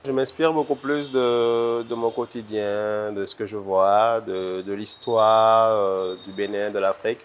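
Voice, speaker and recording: A man's voice: on the low side, level tone, unhurried, hardly any pauses, clear, resonant tone, highs cut off. A man with a Beninese French accent answering interview questions conversationally, repeating words as he thinks. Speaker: interview guest, visual artist. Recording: noisy ambient background.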